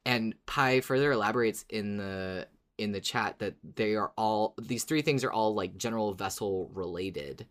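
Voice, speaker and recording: A man's voice: fairly low pitch, moderate pace, few pauses, a bit bright. A man co-hosting a podcast, chatting casually about a fiction show in a playful, teasing manner. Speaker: podcast co-host.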